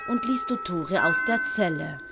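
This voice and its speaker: A woman's voice: fairly low pitch, animated, unhurried, vocal fry. A woman narrating a scripted audio story. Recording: loud music and storm effects behind.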